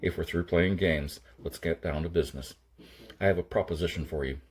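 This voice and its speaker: A man's voice: deep voice, brisk, slight background noise, close to an everyday voice. A man voicing a gray-haired, rock-hard older gunman from a science fiction audiobook, curt and commanding in a level, impatient way. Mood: curt, businesslike. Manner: level, grim, impatient delivery.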